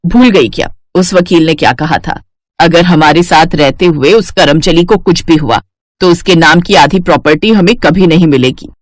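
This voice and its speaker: A woman's voice: low voice, moderate pace, dry recording, studio quality. A woman voicing a scheming, contemptuous middle-aged stepmother in a cartoon story, sly, bossy and coaxing. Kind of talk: Hindi cartoon story character acting.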